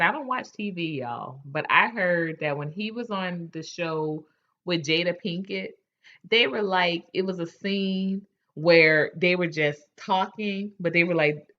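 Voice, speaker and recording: Candid woman chatting, bright, lo-fi. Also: fairly low pitch. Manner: candid, chatty, trailing restarts. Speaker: host. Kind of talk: casual chat.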